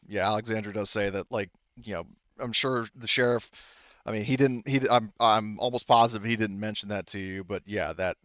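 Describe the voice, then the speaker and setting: A man improvising narration as a tabletop game master, rambling and haltingly correcting himself as he goes. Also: fairly low pitch, fairly bright tone, dry recording, muffled recording. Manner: rambling, halting, self-correcting, full of "you know".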